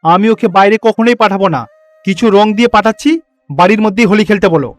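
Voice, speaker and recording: A man voicing a strict, suspicious and overprotective village father in an animated moral story, stern and admonishing. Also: high-pitched, a little fast, soft background music, close to an everyday voice. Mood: stern refusal.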